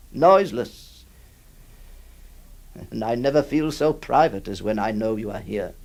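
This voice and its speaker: A man's voice: a little high, heavily acted. A man playing an exasperated, pleading lawyer. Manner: pleading, exasperated, halting stammers. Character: exasperated middle-aged male lawyer employer. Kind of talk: audio drama acting.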